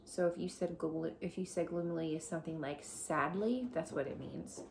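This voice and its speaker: A woman reading a children's storybook aloud as a teacher, deliberate and explanatory as she addresses young listeners. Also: lowish voice, vocal fry, slight background noise.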